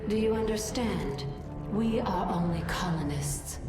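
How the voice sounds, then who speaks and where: A dignified alien researcher in captivity, played by a woman, grave and defiant. Her lowish voice rings with heavy echo in a dramatic game. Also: unhurried, close to an everyday voice. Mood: pleading. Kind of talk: dramatic video game acting. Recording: heavy echo, distant, music behind.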